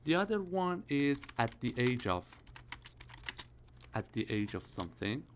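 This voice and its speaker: A man's voice: mid-range voice, sing-song, unhurried, slight background noise. A man in his early thirties teaching English grammar for an exam, explaining step by step and often checking with "all right?" and "okay?". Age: 30s. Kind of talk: IELTS grammar lesson.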